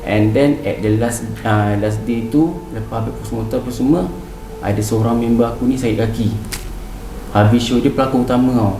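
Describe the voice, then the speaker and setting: A man's voice: mid-range voice, fairly even intonation, moderate pace, background noise. A young Malaysian man telling a story casually, acting out bits of dialogue and repeating phrases as he goes. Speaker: podcast guest telling a ghost story. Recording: roomy, distant mic, music underneath. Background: music.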